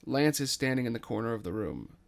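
Creaky-voiced male narrator. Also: medium pitch, very animated, a little fast. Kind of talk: scripted narration of stage directions.

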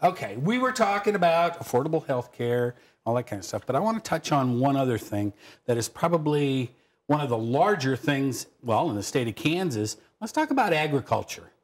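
A man's voice: mid-range voice, fairly quick. A middle-aged man hosting a local television interview show in a studio, talking in a folksy, chatty way. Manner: folksy, chatty, lively intonation. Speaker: TV talk show host. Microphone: lapel mic.

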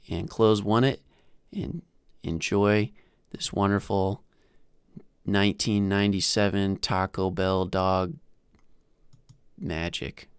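A man in his thirties narrating a casual software tutorial in an informal, halting, self-deprecating way, close on a desktop microphone. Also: lowish voice, clean tone, no echo. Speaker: host of a software tutorial channel. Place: small indoor room at home. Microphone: desktop microphone close to the mouth.

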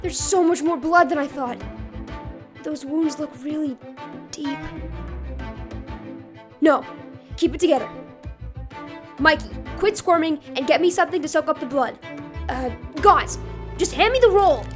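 Woman playing teenage mutant turtle boy, comic dub, high pitch, frantic, strained, urgent. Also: unhurried, pauses often, over music. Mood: panicked, urgent.

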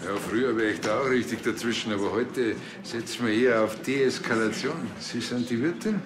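A man's voice: mid-range voice, a little fast, reverberant, crowd noise, close to an everyday voice. An actor playing a grumbling, self-important village policeman with a blunt Bavarian way of speaking. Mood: dry, casual. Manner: blunt, colloquial.

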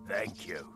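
An actor playing a gruff, shady weapons merchant in a video game, with a raspy voice and a slyly welcoming, drawn-out delivery. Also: male voice. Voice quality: gravelly, raspy. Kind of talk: video game character acting.

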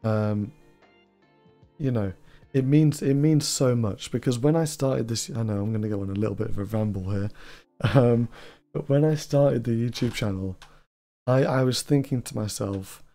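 A young man, hosting a trading advice video in a small room and talking in a flat, rambling way with fillers. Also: medium pitch, normal speed, soft background music. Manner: self-described monotone, rambling with fillers. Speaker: trading channel host. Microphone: studio mic on boom arm, headphones.

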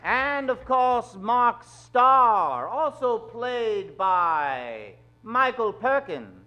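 A man in middle age narrating an old-time radio drama on stage, suave, with dramatic pauses. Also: very high voice, sing-song, pushed and bright, dull audio, faint music behind. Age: middle-aged. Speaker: host and announcer of a radio show. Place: stage of a small theatre. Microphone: vintage-style stand microphone.

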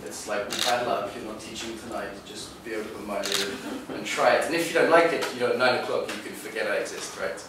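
A man's voice: lowish voice, brisk, hardly any pauses. A man in his thirties with a British English accent, leading a workshop with a joking, direct, emphatic manner. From England. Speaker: workshop leader. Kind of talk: lively workshop talk with guided exercises. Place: small cafe room, indoors, live audience. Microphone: far camera. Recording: echoey room from far off, noisy.

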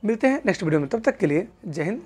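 A man's voice: high voice, very animated, very fast, husky. A man in his twenties explaining step by step in an instructional style, addressing viewers as friends and repeating key points. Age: 20s. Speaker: exam coach and police officer presenting a channel. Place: indoor room, in front of a whiteboard. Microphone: clip-on lapel mic.

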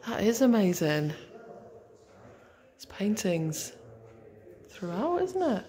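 A young woman films a tour of an old house on her phone, chatting with her father as she goes. Her voice sits at an ordinary middle pitch, curious and easygoing, often dropping to soft asides. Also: big pitch swings, slow pace. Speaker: vlogger filming family tour. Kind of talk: casual chat while touring. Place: rooms of a large old manor house, indoors. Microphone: handheld phone camera.

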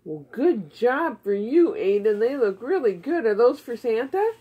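Husky, higher-pitched woman, encouraging. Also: big pitch swings, measured pace, dull audio. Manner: encouraging, simple short questions, as to a child. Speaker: puppeteer in a home puppet show. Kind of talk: casual encouraging chat with a puppet partner. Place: living room beside a Christmas tree at home. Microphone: phone camera a short way off.

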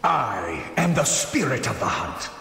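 An actor playing a proud, commanding hunting god in a fantasy video game, with a grand, theatrical and imperious delivery. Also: male voice, medium pitch, very animated, a little slow, vocal fry, sharp tone, echoey room, long reverb tail, full character voice. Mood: solemn, imperious. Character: commanding, proud male spirit god of the hunt.

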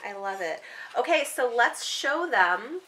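Bright-voiced woman chatting encouragingly. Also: on the high side, animated, a little slow. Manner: encouraging, echoes back the child's words. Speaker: book vlogger and mother interviewing her young child. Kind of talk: casual chat, coaxing questions to a young child. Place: small room with bookshelves, indoors.

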